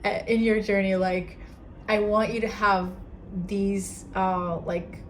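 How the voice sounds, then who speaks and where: A woman's voice: mid-range voice, steady tone, slow pace, a little hiss or hum, poor audio. A young woman with a Portuguese accent hosting a casual solo podcast, talking earnestly with many fillers and restarts. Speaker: podcast host.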